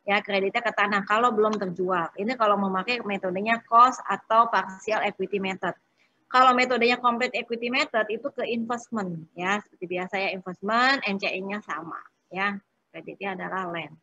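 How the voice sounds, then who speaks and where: A woman working through exercises as an accounting instructor in an informal step-by-step lecture, often pausing and adding short check-in tags. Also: mid-range voice, bright, forceful tone, dull audio.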